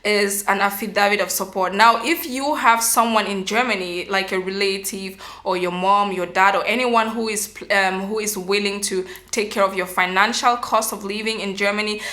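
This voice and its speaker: Young woman, bright crisp voice, mid pitch, explaining patiently, lots of um fillers. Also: steady tone, moderate pace, hardly any pauses. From Cameroon. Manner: earnest, explanatory, frequent um fillers and restarts. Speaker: vlogger. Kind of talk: informational vlog advice.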